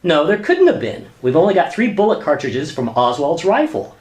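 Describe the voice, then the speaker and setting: A man's voice: on the high side, wildly swooping pitch, normal speed, darkish tone, breathy edge, exaggerated voice. Man acting a flustered sitcom government staffer, swooping voice. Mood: exasperated. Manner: exasperated, protesting. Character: flustered, earnest government commission staffer and husband, eager to rationalize. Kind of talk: sitcom radio play acting.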